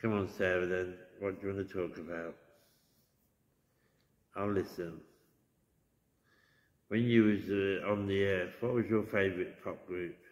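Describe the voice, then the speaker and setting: Very low English man, gentle and chatty ghost hunter, roomy church echo. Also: steady tone, measured pace, pauses often. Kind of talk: casual talk to spirits. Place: old church interior. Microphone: handheld phone camera. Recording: echoey church with long reverb.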